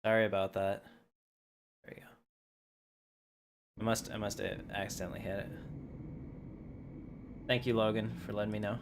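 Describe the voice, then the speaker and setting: A man's voice: fairly low pitch, little pitch movement, normal speed, pauses often. A man hosting a game livestream, chatting casually as he plays. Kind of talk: casual chat over gameplay. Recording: clearly noisy livestream recording.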